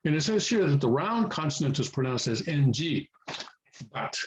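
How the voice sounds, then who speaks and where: A man's voice: fairly high pitch, big pitch swings, moderate pace. A man giving an informal, improvised beginner language lesson, patient and step by step, often searching for words and repeating himself for emphasis. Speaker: teacher explaining Korean writing to beginners.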